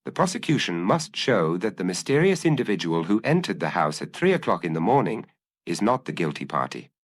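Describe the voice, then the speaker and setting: A man's voice: sits low, big pitch swings, brisk. A man voicing an insistent defence counsel in a detective audiobook, arguing rhetorically like a courtroom orator. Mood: insistent, persuasive.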